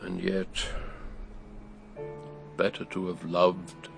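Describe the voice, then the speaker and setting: A world-weary gentleman thinker in a radio drama. He speaks slowly and gravely in a low voice, solemn and philosophical, with music playing clearly behind him. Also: monotone, exaggerated voice. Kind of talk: radio drama narration and acting.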